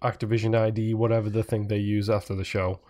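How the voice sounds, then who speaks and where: A man with a fairly low voice co-hosts a casual gaming podcast. He chips in with dry questions and teasing asides. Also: level tone, fast talker, darkish tone. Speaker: podcast co-host.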